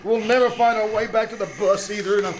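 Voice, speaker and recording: A man's voice: high-pitched, fairly even intonation, fast talker, natural voice. A man playing a fussy, selfish, complaining male traveler in a 1940s-style radio comedy, with a pompous manner. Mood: grumbling, miserable. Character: fussy, whining, selfish male bus passenger. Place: small theater stage. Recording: live stage performance with background noise.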